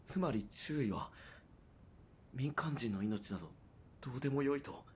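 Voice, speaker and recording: A man's voice: mid-range voice, lively intonation, moderate pace, a little mellow, a little hiss or hum, natural voice. A man playing a principled, dutiful military police sergeant in a period radio drama, speaking formally, with earnest restraint. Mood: righteous indignation.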